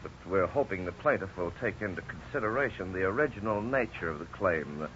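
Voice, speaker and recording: A courteous, frank lawyer in a 1950s radio drama. He has a low, bright voice and explains things in formal, measured phrases. The sound is that of a dull old radio recording. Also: expressive, slight background noise. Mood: diplomatic.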